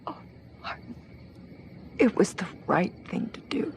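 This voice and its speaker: A woman acting as an anguished but resolute former lover in a soap opera, breathy and pleading. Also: measured pace, darkish tone, whispered, natural voice.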